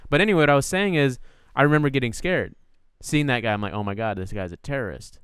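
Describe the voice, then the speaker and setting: A man's voice: mid-range voice, lively intonation, fast talker, clear, resonant tone, no room sound, clean studio sound. A man in his twenties from the United States, co-hosting a film review and telling rambling personal anecdotes. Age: 20s. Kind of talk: casual chat.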